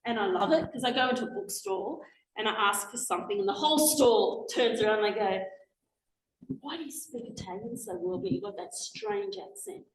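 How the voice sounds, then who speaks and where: Guest speaker, Australian woman, 40s: chatty and warm-paced, slightly high voice, echoey room sound. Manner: chatty, animated, quick asides and restarts. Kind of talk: public talk with slides. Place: lecture room, indoors. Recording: echoey lecture room, faint noise.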